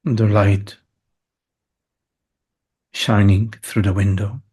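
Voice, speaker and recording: Slow, husky man leading meditation. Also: lowish voice, little pitch movement. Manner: unhurried, hushed phrases with long pauses. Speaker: meditation teacher. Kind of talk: guided meditation.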